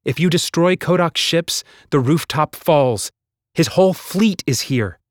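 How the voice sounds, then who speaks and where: A bold teenage girl barks orders across a battlefield in a raised, sharp, commanding voice, as a male narrator puts her on. The audiobook recording is dry and close, with no room sound. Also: on the high side, animated, measured pace, dry recording, pitched above the performer's own voice. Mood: urgent commanding.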